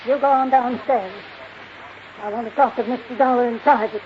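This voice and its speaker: A woman's voice: on the high side, fairly even intonation, moderate pace, natural voice. An actress playing a blind, wealthy old woman in a radio drama, blunt, demanding and cantankerous. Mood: imperious. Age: older. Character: blind, crotchety, bossy old wealthy matriarch. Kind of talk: 1950s radio drama acting. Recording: noisy, muffled old 1950s radio recording.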